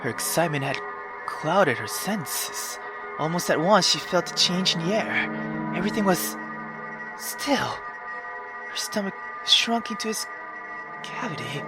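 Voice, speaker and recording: A woman reading a visual novel aloud as a gamer, dramatic and expressive, breaking off into exclamatory, sweary asides. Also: on the low side, a little slow, pauses often. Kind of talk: dramatic reading with reaction commentary. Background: game music.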